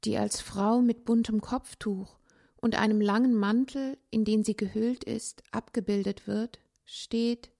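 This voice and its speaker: A woman reading a literary essay aloud in a measured, contemplative way, with pauses between phrases. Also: on the high side, steady tone, gravelly, dark tone. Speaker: narrator, language teacher.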